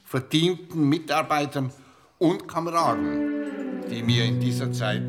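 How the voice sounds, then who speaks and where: An actor playing a retiring insurance boss in his sixties, giving a formal, halting farewell speech in a radio play. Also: on the high side, sing-song, soft background music. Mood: solemn, moved. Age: 60s.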